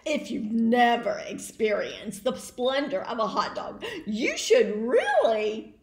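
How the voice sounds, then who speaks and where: A woman voicing a possessive, excitable pigeon from a picture book, dramatic, drawn-out and easily exasperated. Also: a little high, gravelly, roomy sound, full character voice. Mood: gleeful. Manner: dramatic, drawn-out, exclaiming delivery. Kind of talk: picture book read aloud.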